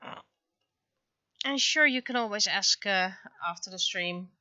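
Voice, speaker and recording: A woman from the Netherlands, chatting casually and haltingly on a livestream as she draws, thinking aloud. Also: mid-range voice, sharp tone, dry recording, muffled recording. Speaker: livestreaming webcomic artist. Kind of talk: casual livestream chat while drawing.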